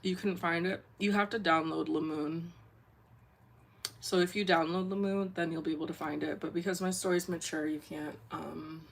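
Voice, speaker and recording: A young woman with a deep voice, sniffling, chatting casually on a drawing livestream and rambling with lots of fillers. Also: steady tone, normal speed, vocal fry. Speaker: comic artist streamer.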